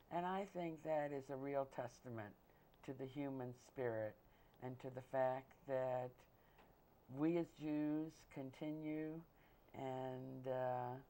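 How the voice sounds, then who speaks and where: A woman born in Austria hosting an interview, asking questions in a measured, earnest way. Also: very deep voice, dark tone, slight background noise. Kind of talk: community TV interview.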